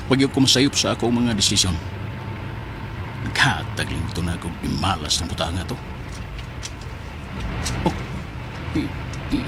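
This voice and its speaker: Man acting a stubborn, grumbling Filipino husband, animated. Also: mid-range voice. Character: stubborn, impatient working-class husband, a driver. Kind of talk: Filipino radio drama acting. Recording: noisy, with vehicle sound behind.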